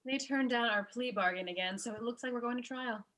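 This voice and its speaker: Woman playing a bright-voiced, dry lawyer. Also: fairly high pitch, brisk, close to an everyday voice. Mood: dry, impatient. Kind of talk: table read of a comedy script.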